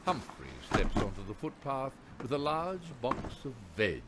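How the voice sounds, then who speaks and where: A man narrating a radio play in a wry, theatrical storyteller's manner with deliberate emphasis. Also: medium pitch, big pitch swings, very noisy.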